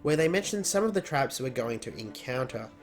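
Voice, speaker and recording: A man's voice: medium pitch, expressive, fairly quick. Man, gaming news narrator, informal scripted delivery, mid-range voice, background music. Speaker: host of a gaming news video.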